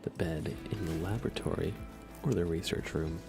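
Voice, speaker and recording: Male ASMR gaming streamer: very low, fry-heavy voice, soft and unhurried, musing commentary. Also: music in the background. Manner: hushed, unhurried, musing aloud. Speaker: live streamer.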